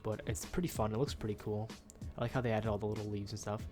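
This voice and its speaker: Young guy, kinda nasal and stuffy, lowish voice, rambles with lots of ums, faint game music behind. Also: steady tone, quick pace. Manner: rambling, full of ums and uhs. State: nasal from a cold. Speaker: gaming YouTuber. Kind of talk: casual gameplay commentary.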